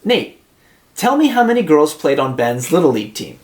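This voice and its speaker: A man's voice: a little high, big pitch swings, moderate pace. A man voicing a reassuring, fair-minded father in a storybook, reasoning things through with questions. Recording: roomy, echoing sound.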